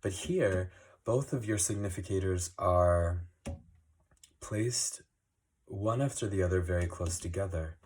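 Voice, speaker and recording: A man with a cold, giving a slow, casual card reading with many ums. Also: low-pitched, darkish tone, breathy edge. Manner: unhurried, many ums and uhs. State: recovering from a cold, sniffly. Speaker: tarot reader. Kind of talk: monthly astrology card reading.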